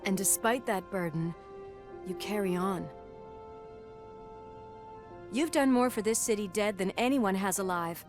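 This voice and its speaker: An actress playing a tough, caring police partner in a video game, speaking in a wry, earnest and informal way. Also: female voice, medium pitch, a little slow, pauses often, over music, close to an everyday voice. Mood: earnest, encouraging. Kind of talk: naturalistic video game acting.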